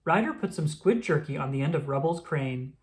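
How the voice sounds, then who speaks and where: A woman reading a children's picture book aloud in an expressive storytelling manner. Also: low-pitched, brisk. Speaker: storybook reader.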